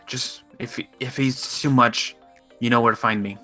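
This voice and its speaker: A man playing a dutiful, wary good mage allied with fairies in an improvised Minecraft fantasy roleplay, speaking in a measured, hesitant, concerned way. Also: mid-range voice, steady tone, sharp tone. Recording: voice over background music.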